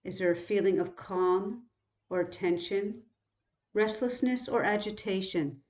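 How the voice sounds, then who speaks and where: A woman guiding a body scan meditation in a calm, unhurried, instructional way, leaving long pauses. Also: medium pitch. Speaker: meditation teacher. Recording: roomy, echoing sound.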